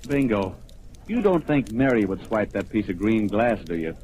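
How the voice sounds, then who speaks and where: Wisecracking radio police sergeant, lively pitch. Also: male voice, normal speed, a little hiss or hum. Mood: urgent. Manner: sardonic, slangy tough-guy patter. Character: wisecracking, gruffly protective male police sergeant. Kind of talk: 1940s radio acting.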